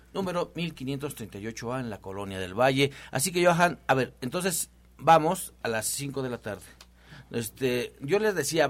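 A man hosting a promotional health talk, speaking loosely with many repeats and fillers. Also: mid-range voice. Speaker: host of a health show.

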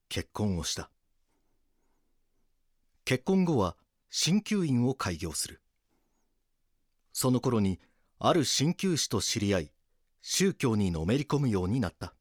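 A man reading scripted documentary drama narration in a grave, measured manner. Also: on the low side, dry recording, clean studio sound.

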